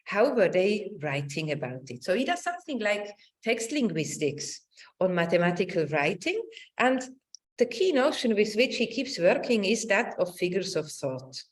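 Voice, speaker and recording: A middle-aged woman giving a semi-improvised academic talk over a video call, haltingly searching for words and checking with a questioning tag. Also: mid-range voice, big pitch swings. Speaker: academic lecturer. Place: indoor room, online presentation.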